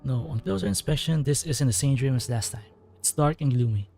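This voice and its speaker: A man's voice: mid-range voice, animated, fairly quick, gravelly, dark tone, soft background music. A man casually commenting aloud while he plays through a game, musing and joking. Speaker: gameplay streamer.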